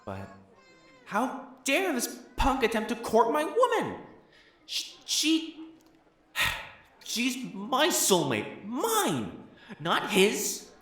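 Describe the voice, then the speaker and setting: A man's voice: very high voice, huge pitch swings, extremely slow, long pauses, bright, forceful tone, husky, full character voice. A man playing a proud, possessive noble fiancé in a romantic audio roleplay, haughty and formal in speech and easily flustered.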